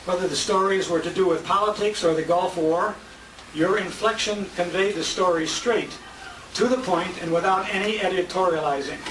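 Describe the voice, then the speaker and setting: A man's voice: high-pitched, normal speed. An older American man hosting a dinner as emcee, giving a live tribute speech in a restaurant banquet room. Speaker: emcee and radio show host. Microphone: distant handheld compact camera. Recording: echoey, noisy handheld camera from far off.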